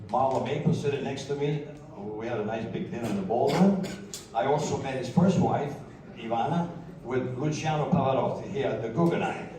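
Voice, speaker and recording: Rambling Sicilian-born man, roomy and distant. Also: medium pitch. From Sicily, Italy. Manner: rambling, boastful, chuckles often. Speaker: former marketing director telling his life story. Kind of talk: casual storytelling. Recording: roomy, distant mic, crowd around.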